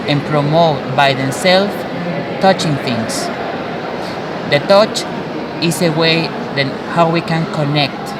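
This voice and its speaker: A man with an Ecuadorian Spanish accent gives a halting, unscripted testimonial. His slightly high voice is caught a little away from the mic, under loud street-like noise. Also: far from the mic. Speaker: educator giving a testimonial. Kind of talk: unscripted testimonial in halting second-language English.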